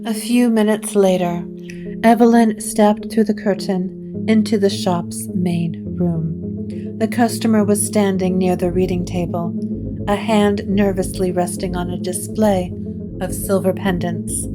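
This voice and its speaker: A woman reading scripted gothic story narration in a measured, deliberate way. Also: medium pitch, fairly even intonation. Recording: clear music bed under the voice.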